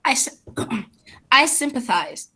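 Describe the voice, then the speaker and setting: Female reader as polite, high-voiced lady guest. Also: very animated, slow, bright, forceful tone, slightly rough, dry recording, heavily acted. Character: polite, eager lady guest at a society visit.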